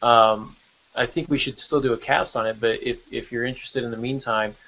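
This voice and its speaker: A man hosts a casual podcast with an enthusiastic, encouraging manner. His voice sits at an ordinary male pitch, and the sound is a bit dull and lo-fi. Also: fairly even intonation, a little fast, a bit bright. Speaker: podcast host.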